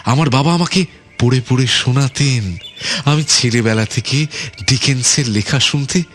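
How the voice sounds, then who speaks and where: A man playing a softly polite but menacing island overlord in a radio drama, repeating his phrases with false courtesy. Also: mid-range voice, moderate pace, lightly acted. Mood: nostalgic. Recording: music under the voice.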